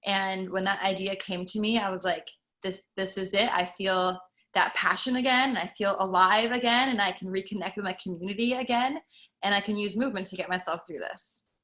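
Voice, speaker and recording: A woman's voice: medium pitch, a little fast, pushed and bright. A woman in her thirties from Costa Rica, a fitness instructor talking earnestly about her work in a casual panel chat. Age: 30s. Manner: earnest, reflective, frequent fillers.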